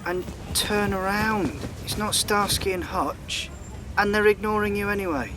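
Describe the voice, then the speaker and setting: Sarky polite copper, voice a bit high, radio comedy, noisy outside. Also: male voice, measured pace. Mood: weary. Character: dry, officious male policeman, sardonic and patient.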